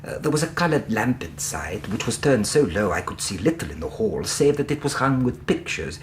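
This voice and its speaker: An actor playing an anxious, well-spoken Victorian gentleman in a radio drama, formal and precise in manner. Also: on the low side, big pitch swings, normal speed, slight background noise. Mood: earnest recounting.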